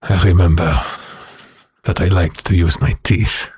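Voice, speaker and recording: Very husky, deep, haunted werewolf. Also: male voice, steady tone, a little mellow, no room sound, studio quality, full character voice. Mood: haunted sorrow. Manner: slow, halting, brooding delivery. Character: bitter haunted male werewolf prisoner recounting his past. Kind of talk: dramatic audio drama monologue.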